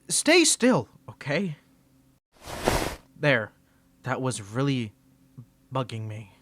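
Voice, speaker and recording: A man's voice: a little high, sharp tone, no room sound, heavily acted. A man voicing a flustered young tsundere male student rival in an ASMR roleplay, teasing and defensive, stammering when caught off guard. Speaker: ASMR creator.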